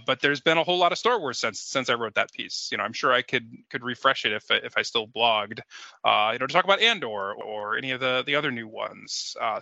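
A man chatting casually as a podcast guest, halting and reflective, over a lo-fi connection. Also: mid-range voice, creaky, bright, forceful tone, no echo.

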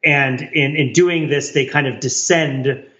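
A man's voice: medium pitch, steady tone, unhurried, pushed and bright. A man co-hosting a podcast in casual analytical discussion, working out ideas aloud, heard on a dull, roomy recording. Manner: questioning, works ideas out aloud. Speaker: podcast co-host.

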